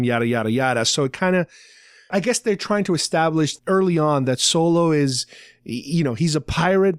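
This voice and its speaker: A man's voice: medium pitch, no echo, clean studio sound. A man hosting a podcast, casually recapping in a conversational way with loose paraphrases of dialogue. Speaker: podcast host. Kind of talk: casual fan discussion, recapping a radio drama.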